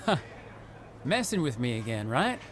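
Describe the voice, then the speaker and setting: A man playing an eager, talkative young American rookie wrestler in a video game cutscene, chatty and excitable. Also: mid-range voice, normal speed, a little hiss or hum, natural voice. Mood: unsure.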